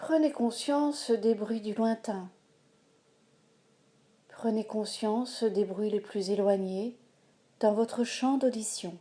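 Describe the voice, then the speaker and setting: A woman leading a guided yoga nidra relaxation as the instructor, giving calm, measured, soothing instructions with pauses. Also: medium pitch.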